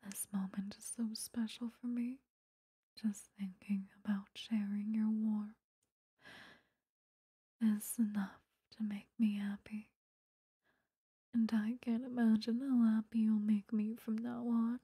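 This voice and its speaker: A woman's voice: a little high, little pitch movement, takes it slowly, pauses often, dark tone, dry recording, exaggerated voice. A woman playing an obsessive, doting vampire lover in a whispered, intimate ASMR roleplay, cooing close to the microphone. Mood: blissful, doting. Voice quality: breathy, whispery. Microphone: close microphone, dry.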